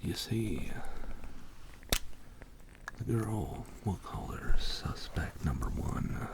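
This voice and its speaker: A man softly telling a rambling ASMR bedtime story in soothing, halting phrases, whispering close to sensitive microphones. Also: animated, heavy background noise. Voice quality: breathy whisper mixed with low creaky voice. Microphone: close high-sensitivity microphones. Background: soft writing and tapping trigger sounds.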